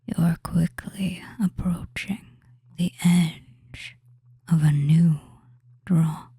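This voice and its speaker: A person whispering a soothing hypnosis roleplay in ASMR style as a doting, controlling fantasy witch, with drawn-out phrases and pauses. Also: medium pitch, fairly even intonation, warm tone, no echo. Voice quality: breathy, mostly unvoiced whisper.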